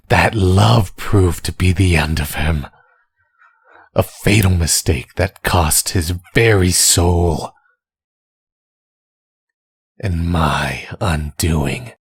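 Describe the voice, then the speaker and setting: A man playing a menacing, tormented villain spirit possessing someone's body, in a husky, brooding, deliberate monologue for an ASMR audio roleplay. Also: dry recording, studio quality, exaggerated voice. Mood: cold, bitter. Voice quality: husky, breathy low voice. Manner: slow, deliberate, brooding monologue with dramatic pauses.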